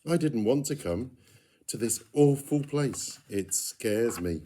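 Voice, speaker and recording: A man's voice: medium pitch, animated, slow pace, warm tone, a little hiss or hum. A man voicing a frightened, reluctant young sister in a storybook, anxious and protesting. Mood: reluctant, scared.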